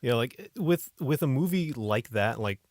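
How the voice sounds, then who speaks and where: A man's voice: mid-range voice, animated, unhurried, a little mellow, no echo, clean studio sound. A man co-hosting a podcast, discussing movies in a casual, conversational and opinionated way. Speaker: podcast co-host.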